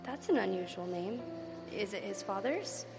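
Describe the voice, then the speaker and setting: An earnest, eager radio reporter, played by a woman, narrating the scene as it unfolds. Her voice has a gravelly edge, with piano music clearly under it. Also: mid-range voice, lively intonation, normal speed, natural voice. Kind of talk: live radio drama acting. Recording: live stage with piano music.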